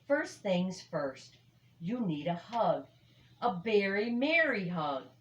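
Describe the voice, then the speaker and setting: A woman's voice: mid-range voice, big pitch swings, slow pace. A woman voicing a kind young female bear cub in a storybook, speaking in an emphatic singsong in a roomy space. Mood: comforting, cheerful.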